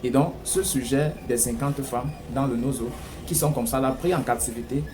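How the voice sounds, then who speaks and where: Cameroonian french guy doing political commentary, emphatic, mid pitch, some noise behind him. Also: moderate pace. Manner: emphatic, rhetorical questioning. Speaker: news commentator. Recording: clear background noise.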